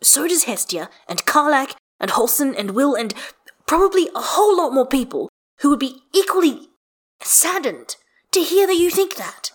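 Female reader as bookish, exasperated male story character; formal wording, emphatic, raised and animated pitch. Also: moderate pace. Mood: earnest, hurt. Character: earnest, wordy, exasperated scholarly man.

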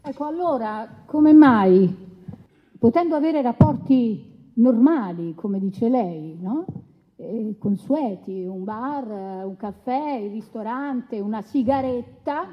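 A courtroom interrogator in a radio play. Her fairly high voice is husky and probing, each phrase set out deliberately. It rings in a reverberant hall. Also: animated, exaggerated voice. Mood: pointed, probing. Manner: deliberate, listing, pointed. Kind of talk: courtroom drama cross-examination. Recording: dull, reverberant hall, slightly muffled.

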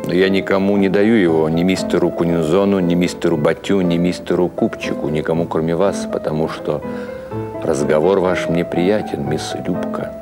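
Man playing a low-voiced, courtly drunken seaman. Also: steady tone, loud music behind the voice, lightly acted. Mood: solemn, tipsy. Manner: slow, ponderous, formal. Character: drunken, courtly foreign ship's chief mechanic. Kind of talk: audiobook character acting.

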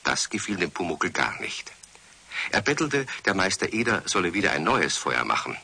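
A man playing the storyteller of a children's radio play, husky, narrating in a measured and gently wry way. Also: low voice, big pitch swings, full character voice. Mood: matter-of-fact storytelling.

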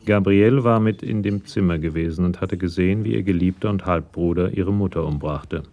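A man narrating a scripted crime radio play in a measured, matter-of-fact storytelling manner. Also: low-pitched, mellow, muffled recording.

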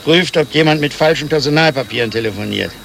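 A man's voice: on the high side, quick pace, vocal fry, a bit bright, slight background noise. A man playing a nosy, officious passport officer in a satirical radio comedy, matter-of-fact and bureaucratic.

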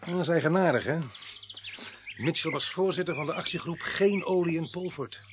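A man's voice: fairly high pitch, normal speed, noisy background, close to an everyday voice. An actor playing a dry, probing male police sergeant in a radio play. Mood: musing.

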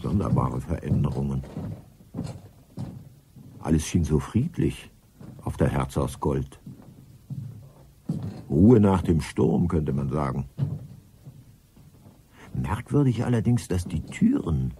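A man narrating a German radio play in a measured, dry, formal manner. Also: extremely low pitch, animated, noisy background. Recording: faint music behind.

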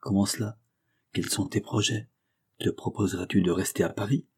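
A man voicing an earnest young gentleman in an audiobook, solicitous and insistent toward a friend. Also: on the low side, steady tone, moderate pace, slightly rough, no echo, clean studio sound. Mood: urging. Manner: affectionate, insistent. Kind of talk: audiobook character dialogue.

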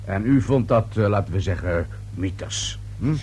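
A lowish male voice as a police inspector in a radio drama. He probes dryly and persistently, pausing on small hesitations. The old recording carries hum and slight noise. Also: lively intonation, a little mellow. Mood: probing, dry. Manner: measured questioning with hesitant fillers. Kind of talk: radio play acting.

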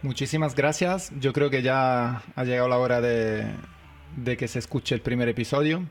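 A man's voice: medium pitch, moderate pace. A man, a radio host, closes an interview in a polite, grateful way. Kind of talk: closing an interview.

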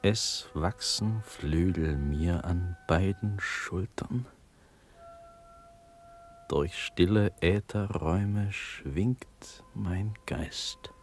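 An actor playing a dreamy young prince in a classical verse radio play, hushed and halting, often slipping into whispers. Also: male voice, deep voice, faint music behind, heavily acted. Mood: rapt, dreamy. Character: dreamy, entranced young prince facing death.

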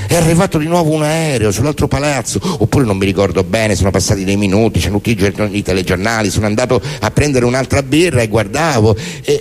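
Male stage performer, mid-pitched; deadpan, declamatory spoken-word delivery. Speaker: stage performer reciting poems and prose monologues. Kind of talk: theatrical spoken-word recitation.

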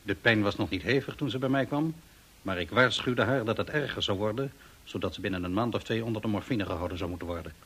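An actor playing a composed, matter-of-fact doctor in a radio play, speaking calmly and deliberately. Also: male voice, lowish voice, slightly rough. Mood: grave, factual. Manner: calm, deliberate, professional. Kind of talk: Dutch radio drama acting.

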